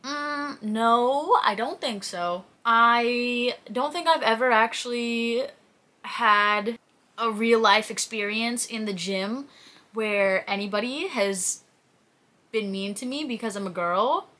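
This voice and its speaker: Bright-voiced young woman, chatty. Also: fairly high pitch, slow. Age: 20s. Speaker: fitness content creator. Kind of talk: casual chat, answering viewer questions to camera. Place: small plain indoor room, seated.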